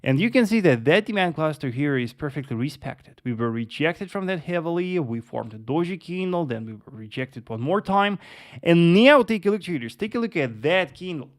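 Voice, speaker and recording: Guy doing a live forex stream, gravelly low voice, lots of yes and uh, keeps saying traders. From Russia. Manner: repeats words, addresses viewers as traders, many yes and uh fillers. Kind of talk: live market analysis with chat Q&A.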